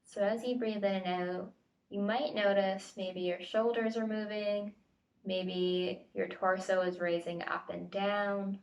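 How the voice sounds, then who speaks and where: A woman's voice: medium pitch, fairly even intonation, dull audio. Distant-miked woman guiding a meditation. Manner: gentle tentative invitations, unhurried pauses. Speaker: meditation facilitator. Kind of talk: guided body scan meditation instruction. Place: at home, indoors. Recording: home recording, distant mic.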